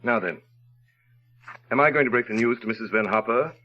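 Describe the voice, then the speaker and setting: A dull, lo-fi vintage radio recording carries a man acting a brusque gentleman of means. He speaks at a middling pitch. His manner is clipped and imperious, with a dry, teasing edge, in the of old radio drama. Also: a little fast, close to an everyday voice. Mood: wry, tender. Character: brusque, sardonic, well-to-do gentleman proposing marriage.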